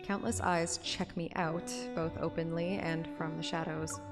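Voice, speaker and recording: A woman's voice: low voice, flat delivery, unhurried. A woman streamer narrating a game story in an audiobook style, with casual asides. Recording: reverberant, music under the voice.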